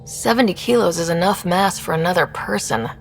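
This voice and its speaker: A young woman starship leader from a video game. Her voice sits a little low, conversational and steady with a wry edge. Music plays faintly behind her. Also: brisk, gravelly. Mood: matter-of-fact.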